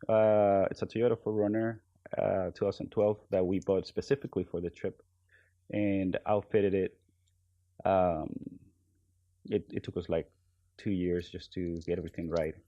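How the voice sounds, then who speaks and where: A man from Venezuela with a very low, gravelly, mellow voice, speaking hesitantly with soft trailing phrases. The sound is dry and dull, like a muffled remote line. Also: no room sound. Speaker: podcast guest. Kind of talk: relaxed conversational interview.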